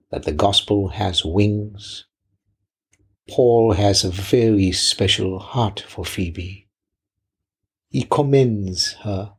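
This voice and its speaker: A man who is a pastor delivering a sermon in deliberate, measured phrases, often posing rhetorical questions. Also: fairly low pitch, husky.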